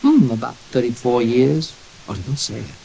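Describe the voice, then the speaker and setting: A man's voice: mid-range voice, moderate pace, warm tone, lightly acted, rough recording. A man voicing a dapper, middle-aged teasing friend in an audiobook, urbane and knowing, on a hissy tape. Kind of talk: audiobook character dialogue. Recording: restored tape with clear hiss.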